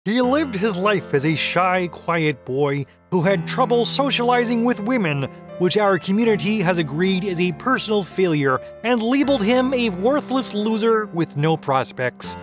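Man playing a pompous, animated cartoon frog. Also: high voice, normal speed, pauses often, music in the background. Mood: solemn, preachy.

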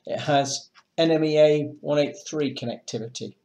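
A man presenting marine electronics in a measured, matter-of-fact way, as in a semi-scripted product demonstration. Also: on the high side, darkish tone. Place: indoors, small room with block walls at a table.

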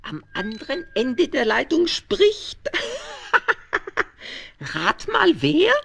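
Bratty little dinosaur king, childlike, boastful, very high-pitched, slow drawl, 1970s children's radio play. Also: wildly swooping pitch, vocal fry, a bit bright, heavily acted. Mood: cheeky, gleeful. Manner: gleeful, boastful, giggly, stretching his words.

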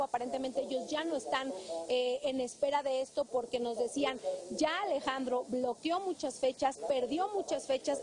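A woman with a Mexican accent giving a live field report as a TV reporter. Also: high voice. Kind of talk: live news report, semi-scripted. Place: outside a government building on a city street. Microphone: handheld reporter microphone. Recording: outdoor street noise behind.

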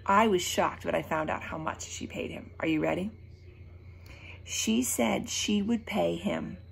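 A middle-aged woman reading a children's book aloud, animated and emphatic, breaking off to question her young listeners. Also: mid-range voice, vocal fry, husky. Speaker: storybook reader for children. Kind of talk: children's read-aloud with asides. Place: small room at home, indoors.

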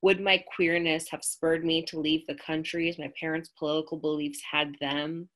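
A woman with an American accent reads her personal essay aloud from a small room at home, her voice sitting low and unhurried. She lingers on key phrases and slows for emphasis, picked up by a plain webcam mic on a video call. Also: flat delivery, a bit bright. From United States. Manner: measured, reflective reading with slowed emphasis. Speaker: writer reading her own work.

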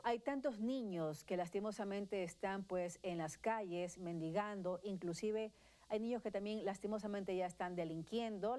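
A woman in her thirties anchors a TV news segment from the studio. She speaks formally and at a measured pace. Her pitch sits in a middle range. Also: mellow. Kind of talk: TV news interview.

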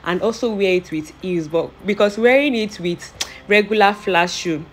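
A woman's voice: medium pitch, moderate pace, a little hiss or hum. A young woman with a Nigerian accent, narrating her clothing haul in a chatty, playful, self-amused way. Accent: Nigerian English. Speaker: vlogger.

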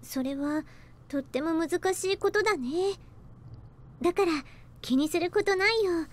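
A woman voicing a gentle young girl, the heroine of an anime visual novel, in a soft manner. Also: extremely high pitch, a little fast. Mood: gentle concern. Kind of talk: Japanese anime game voice acting.

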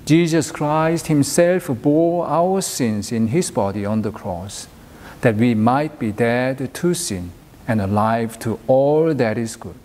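A middle-aged man leading church worship as minister, reading prayers and scripture in a solemn, measured, formal way. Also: medium pitch, a little mellow. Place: inside a church, at a pulpit. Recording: long echoing hall reverb.

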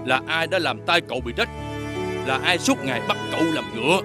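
Casting: male actor for a sly talking gecko in a cartoon, insinuating mentor, highly animated delivery, raised pitch, music underneath. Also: a little fast, lightly acted. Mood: inciting, forceful. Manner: insinuating, coaxing, with theatrical emphasis. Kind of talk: animated fairy tale voice acting.

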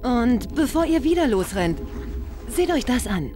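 A woman's voice: a little high, sing-song, a little slow, background noise. Woman as a determined, no-nonsense heroine. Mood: firm, urgent. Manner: assertive, impatient. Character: determined, no-nonsense furry female ex-scientist. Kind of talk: animated game cutscene acting.

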